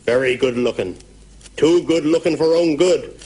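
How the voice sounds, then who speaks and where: A man's voice: on the high side, normal speed, warm tone, lightly acted. An actor playing a superstitious Cornish countryman in a radio comedy, rustic and portentous, with a Cornish accent. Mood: solemn, folksy. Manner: rustic, portentous, dialect grammar. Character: superstitious Cornish countryman, full of muddled folk sayings.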